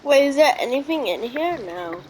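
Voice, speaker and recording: A woman's voice: high-pitched, big pitch swings, normal speed, a little hiss or hum, low-quality recording. A woman giving casual gameplay commentary, musing aloud in short questions as she plays. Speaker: gamer.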